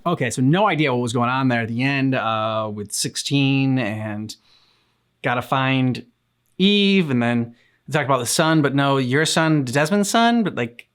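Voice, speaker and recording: Halting, casual man with a mid-range voice. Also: medium pitch, lively intonation, a bit bright, clean tone. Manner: halting, trailing off. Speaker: reaction show co-host. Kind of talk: casual chat reacting to game cutscenes.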